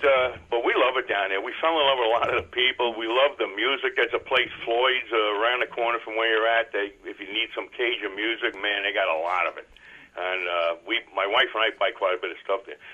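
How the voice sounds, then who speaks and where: An older man with a New York accent chatting casually as a caller to a radio show over the telephone. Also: medium pitch. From Brooklyn, New York. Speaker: radio call-in listener. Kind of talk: casual chatty phone call. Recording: dull phone line on radio call-in.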